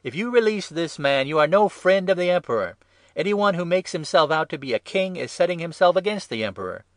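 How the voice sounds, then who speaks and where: A man voicing an angry mob of priests demanding an execution, shouting insistently in a dramatised scripture reading. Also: fairly high pitch, lively intonation, a little fast, no echo, studio quality, voice pushed up, natural voice. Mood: insistent, accusing.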